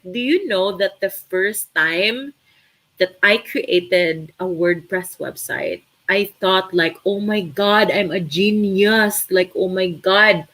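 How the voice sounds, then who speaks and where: A woman's voice: medium pitch, low-quality recording. A young Filipina woman with a Filipino accent, native in Tagalog, chatting casually as she answers viewers' questions on a live stream about an online course. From Philippines. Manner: chatty, animated, uses many fillers.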